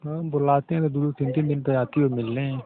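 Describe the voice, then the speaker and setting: A man's voice: mid-range voice, fairly even intonation, fairly quick, slight background noise. A man playing a cajoling, rustic young lover in Bhojpuri-accented Hindi, teasing and coaxing in a casual phone chat. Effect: phone line. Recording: narrow tinny phone-call audio.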